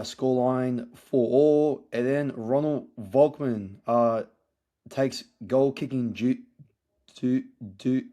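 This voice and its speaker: Croaky aussie guy reviewing a footy game, talks slow, lots of ums and restarts. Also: medium pitch, mellow. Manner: halting, many fillers and restarts. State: hoarse from yelling. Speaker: podcast host. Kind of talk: casual match review.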